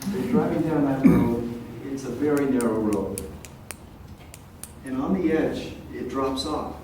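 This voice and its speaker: Soft-spoken older man in an echoey hall. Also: mid-range voice. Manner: soft-spoken, rambling with pauses. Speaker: resident speaking at a public meeting. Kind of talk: public comment at a community meeting. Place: large echoey meeting room. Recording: echoey room, distant mic, faint hiss.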